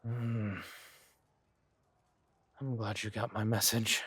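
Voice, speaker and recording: A man voicing a suave, menacing villain king who is doting with his lover and talks in a smooth, teasing, courteous drawl for a roleplay audio drama. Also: on the low side, little pitch movement, takes it slowly, fairly bright tone, exaggerated voice. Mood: controlled, conspiratorial.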